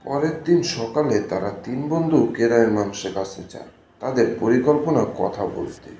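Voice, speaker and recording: A man's voice: medium pitch, lively intonation, normal speed, warm tone, roomy sound. A man narrating a scripted cartoon story.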